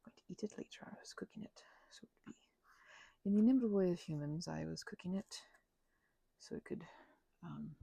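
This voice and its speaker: A woman rambling casually as an ASMR host, mumbling in a low-key, musing way, her voice hushed and often slipping toward a whisper. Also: brisk, vocal fry, long pauses, breathy edge, dry recording. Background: keyboard clicking.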